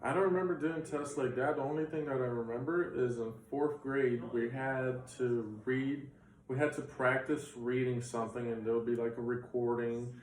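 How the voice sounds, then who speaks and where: A man's voice: mid-range voice, fairly even intonation, normal speed. A young man in his twenties, originally from Bulgaria with Bulgarian as his mother tongue, tells his story in a loose, rambling interview manner. He sits some way from the microphone, and the room gives his voice a noticeable echo. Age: 20s. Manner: rambling, filler-heavy recollection. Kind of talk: casual interview. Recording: roomy sound from a distant mic.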